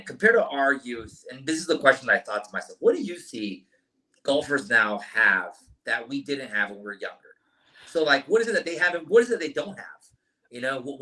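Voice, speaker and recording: A man's voice: mid-range voice, big pitch swings, a little fast, sharp tone, poor audio. A man in his late thirties, hosting a podcast, asking rambling, enthusiastic questions in casual chat. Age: late 30s. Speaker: podcast host.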